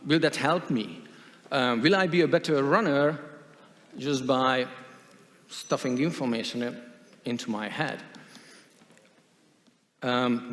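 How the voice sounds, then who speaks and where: A man giving a conference talk on stage, hesitant, with many fillers and restarts. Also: medium pitch. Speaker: conference speaker. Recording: long hall reverb.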